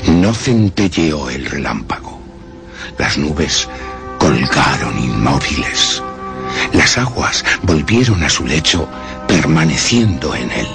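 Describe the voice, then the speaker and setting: A man's voice: extremely low pitch, sing-song, slow, music in the background, exaggerated voice. A man playing a brooding, sinister demon who narrates a horror radio play in a solemn, declamatory way. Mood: wrathful, grave.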